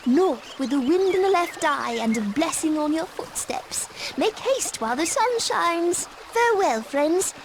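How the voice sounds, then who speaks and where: A woman's voice: extremely high pitch, animated, measured pace, few pauses, background noise, heavily acted. An actress playing a gracious fairy-tale lady in a fantasy video game, speaking in formal, lilting blessings.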